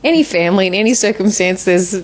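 A woman in her forties, a guest in an interview, talking in a thoughtful, measured way with frequent restarts. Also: mid-range voice, animated, low-quality recording. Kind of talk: reflective interview conversation.

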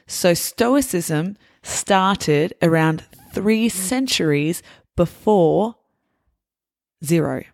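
A woman with a lowish voice hosts a learner podcast, talking very slowly and carefully as she explains words. The sound is dry and close, with no room around it. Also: no room sound. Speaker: podcast host.

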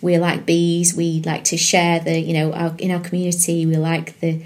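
A woman's voice: fairly low pitch, completely flat delivery, normal speed, a little mellow. A woman, chatting as a podcast guest, rambling with many fillers and restarts. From England. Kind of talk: casual interview chat.

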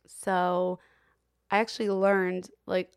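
Young woman podcasting, casual rambling, kinda gravelly fry on her voice. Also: on the low side, level tone, slow. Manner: chatty, many fillers like "um" and "like", laughs often. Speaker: podcast co-host. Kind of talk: casual chat.

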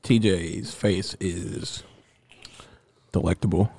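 A man's voice: sits low, steady tone, takes it slowly, darkish tone, slightly rough. A man co-hosting a podcast, chatting casually with crude jokes. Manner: joking, crude. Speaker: podcast co-host. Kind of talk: casual chat.

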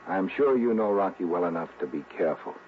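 An actor playing an associate cautioning a detective in a 1950s radio drama, speaking deliberately with pauses. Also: male voice, low-pitched, lively intonation. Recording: thin phone-like band, faint hiss.

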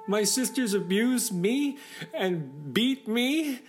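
Man as kind mistreated storybook girl, tearful, plaintive, raised pitch, swooping delivery. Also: sing-song, takes it slowly, faint music behind, heavily acted. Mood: tearful, pleading.

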